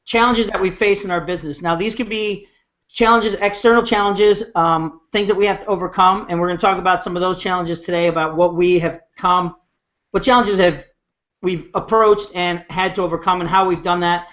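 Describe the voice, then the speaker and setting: A man hosts an online hangout over a video call, talking in a relaxed, meandering way. His voice is high for a man. The sound is dull and lo-fi. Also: a little fast. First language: English. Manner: relaxed, conversational, rambling. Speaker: host.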